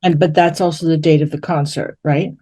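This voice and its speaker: A woman's voice: low-pitched, steady tone, a little fast, mellow. A woman interviewing in a conversational, reflective way, rephrasing her questions as she goes to check she understands.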